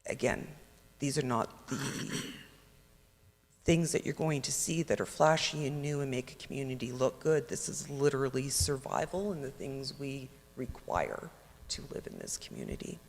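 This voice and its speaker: A man speaking as a city councillor in a council debate, hoarse from losing his voice, earnest and halting as he restarts phrases. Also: medium pitch, big pitch swings. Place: council chamber. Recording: reverberant council chamber.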